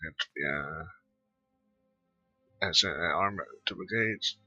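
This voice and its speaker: A man with a low, husky voice idly comments on his video game, thinking aloud and often unsure of himself. The sound is dry and dull, like a lo-fi mic. Soft game music plays behind him. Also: slow pace, no room sound. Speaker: gameplay commentator. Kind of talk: casual gameplay commentary.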